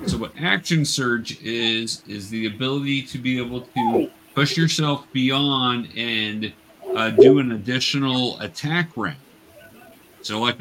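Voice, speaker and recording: A man with a medium-pitched voice runs a tabletop game as host and game master. He explains things casually in a halting way, pausing often. Faint noise sits behind his voice. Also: fairly bright tone, poor audio.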